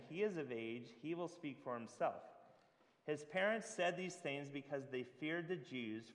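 A man preaching a sermon in a loose, chatty way, with self-mocking jokes and many fillers between passages of scripture he reads aloud. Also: medium pitch. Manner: joking, self-mocking asides. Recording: long reverb of a large hall.

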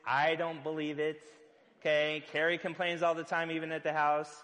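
A man preaching a sermon as pastor, loud-voiced and conversational, with filler words and playful asides. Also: fairly high pitch, flat delivery, moderate pace, in a large hall.

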